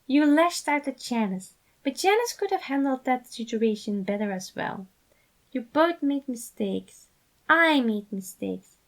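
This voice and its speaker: Woman voicing an earnest, high-pitched fatherly man. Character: fatherly man, earnest, loving, emotional and reassuring. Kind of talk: fan fiction character reading.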